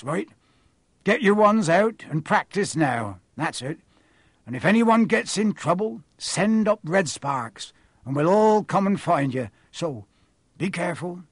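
A man voicing a gruff, fierce but big-hearted gamekeeper in an audiobook, with blunt, rustic, growling speech. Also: high voice, no room sound, studio quality, pitched above the performer's own voice.

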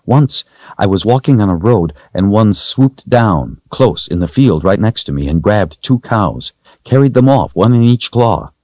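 A man's voice: fairly low pitch, lively intonation, a little slow, a little mellow, dry recording, dull audio, voice pushed up. A man voicing an earnest, knowledgeable woman in an audiobook, speaking with emphasis. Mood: reassuring. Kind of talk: audiobook character voice.

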